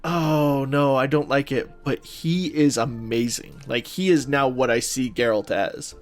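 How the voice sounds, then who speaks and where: A man's voice: mid-range voice, fairly even intonation, measured pace, faint music behind. A man co-hosting a podcast, chatting casually and informally about films and games with gushing opinions. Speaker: podcast co-host.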